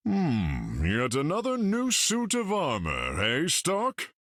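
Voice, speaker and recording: A man's voice: fairly high pitch, wildly swooping pitch, takes it slowly, a bit bright, no echo, clean studio sound, exaggerated voice. A man voicing a proud thunder god warrior in a video game, speaking in a grand, formal, theatrical way. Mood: proud, gruff. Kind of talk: video game character acting.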